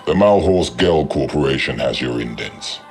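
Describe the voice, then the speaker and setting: An actor playing a haughty, menacing alien crime boss in a video game, with a gravelly voice and cold, deliberate threats. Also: male voice, a bass voice, animated, soft background music. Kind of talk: video game cutscene acting.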